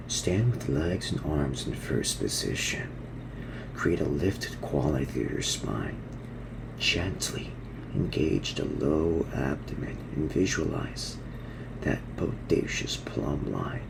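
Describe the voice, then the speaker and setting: A man voicing a laid-back gorilla surfer dude who teaches in slang, in a gravelly, breathy half-whisper for an ASMR parody. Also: extremely low pitch, background noise, full character voice. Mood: soothing, guiding. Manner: surfer slang, soothing instructional delivery.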